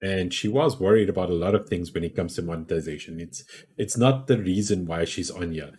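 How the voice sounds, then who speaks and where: Man, very low pitch, husky gruff tone, rambling casual advice. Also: brisk, darkish tone. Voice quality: deep, gruff voice. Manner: rambling, many restarts and fillers. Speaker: podcast host.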